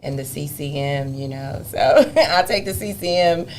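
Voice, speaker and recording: A deep-voiced woman talks about her life as an interview guest in a lively, expressive way. She laughs easily. A faint hum of noise sits behind her voice. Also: normal speed. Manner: expressive, laughs often, animated storyteller. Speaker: gospel singer and guest. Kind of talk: conversational interview storytelling.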